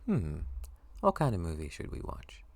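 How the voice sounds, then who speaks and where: A man acting out a young male best friend in an ASMR roleplay, with a very deep, creaky voice. He is eager and affectionate, teasing one moment and bashful the next. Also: wildly swooping pitch, moderate pace, darkish tone, dry recording, full character voice. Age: young adult.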